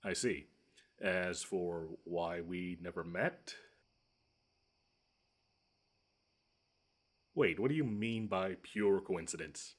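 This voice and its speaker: A man's voice: low-pitched, slow pace. A man voicing a smug, theatrical monster from under the bed, menacing and easily flustered, in an audio roleplay. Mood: incredulous, haughty. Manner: theatrical, taunting, haughty. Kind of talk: scripted one-sided audio roleplay.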